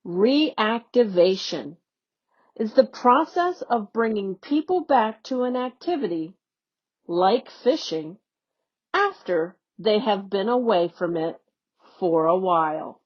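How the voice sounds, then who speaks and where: A woman educator reading a children's newsletter aloud in a slow, deliberate, teacherly way. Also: mid-range voice, lively intonation. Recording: dull, lo-fi recording.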